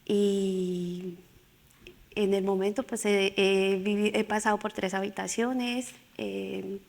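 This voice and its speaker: Gravelly, slow Colombian woman in a hall. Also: medium pitch, level tone, a little mellow. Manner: nervous and halting. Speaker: panel guest. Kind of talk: personal testimony at a panel. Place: conference hall. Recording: reverberant hall.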